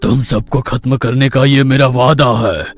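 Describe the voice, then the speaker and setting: A man voicing a menacing, boastful forest demon who makes slow, taunting threats in an animated horror story. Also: mid-range voice, natural voice. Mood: furious.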